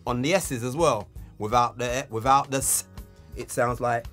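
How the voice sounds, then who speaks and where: Male tutor, mid-range voice, clear step-by-step instruction with stressed key words, some music behind. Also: medium pitch, fairly even intonation, normal speed, fairly bright tone. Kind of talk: instructional tutorial on talkbox technique.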